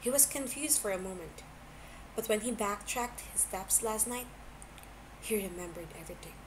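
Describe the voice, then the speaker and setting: A woman's voice: mid-range voice, expressive. A woman narrating fan fiction as an amateur reader, stumbling now and then over the words, on a noisy home recording. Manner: halting reading with stumbles.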